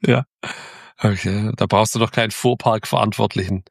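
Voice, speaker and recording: A man's voice: fairly low pitch, fairly even intonation, normal speed, gravelly, no room sound, clean studio sound. A man hosting a podcast, opening the episode and interviewing his guest in a casual, informal way. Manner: chatty, informal, with frequent restarts. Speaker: podcast host.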